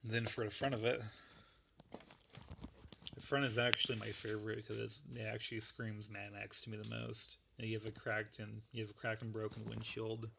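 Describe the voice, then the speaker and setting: A man with a gravelly voice that is going, casually rambling through a show-and-tell of a model build. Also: fairly low pitch, little pitch movement, moderate pace, pushed and bright, slight background noise. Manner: rambling, laid-back, lots of fillers. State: losing his voice.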